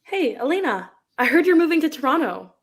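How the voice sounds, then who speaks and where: A woman plays a young thrift store clerk in a stage drama reading. She is chatty and casual, with a highish voice that moves up and down in a lively way. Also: fairly quick. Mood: friendly. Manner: casual, chirpy.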